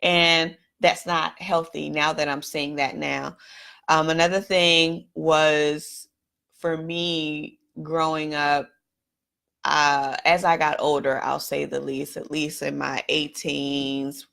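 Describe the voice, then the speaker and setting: A woman from Washington, D.C. hosting a live stream, telling her own story in a candid, reflective way straight to viewers, her voice a little raspy. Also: sits low, little pitch movement, slow, a bit bright. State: slightly raspy voice. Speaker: host.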